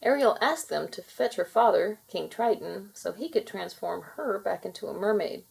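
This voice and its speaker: Expressive woman reading a children's storybook. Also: medium pitch, moderate pace, creaky, husky, low-quality recording. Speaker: storybook reader. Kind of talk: expressive children's read-aloud narration.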